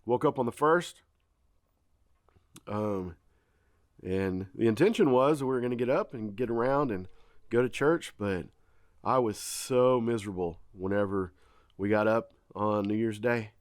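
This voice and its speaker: A man's voice: fairly low pitch, unhurried, long pauses, no echo, clean studio sound. A man, congested, chatting casually to viewers in a homestead vlog. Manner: folksy, chatty, addresses viewers as y'all. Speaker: farm vlogger. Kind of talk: casual vlog talk to camera.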